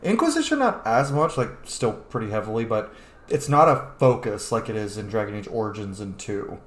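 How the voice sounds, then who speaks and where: A man's voice: on the low side, very animated, fairly quick. A man hosting a casual video game playthrough, informal and sweary, musing aloud as he plays. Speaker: gaming host. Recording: roomy echo, faint game music. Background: faint game music.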